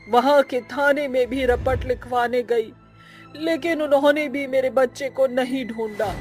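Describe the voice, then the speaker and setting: A woman's voice: a little high, a little mellow, pitched below the performer's own voice. A woman voicing a grieving, possessive witch mother in a cartoon horror story, plaintive and insistent. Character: grieving witch mother, possessive yet tender toward children. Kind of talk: Hindi animated horror story acting.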